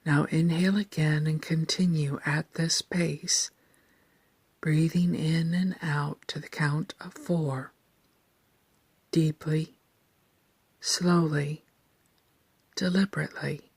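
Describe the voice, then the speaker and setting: A woman leads a guided meditation in a low, hushed voice full of breath. She speaks very slowly and calmly, placing each phrase with care. The sound is close and dry, with a soothing, deliberate delivery. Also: no room sound. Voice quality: soft, breathy, near-whispered. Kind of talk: slow scripted guided meditation narration.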